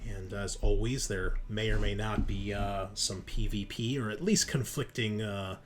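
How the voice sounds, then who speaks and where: A man narrating a tabletop roleplaying game as game master, explaining things conversationally with pauses mid-sentence. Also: on the low side, steady tone. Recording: music behind the voice. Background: background music.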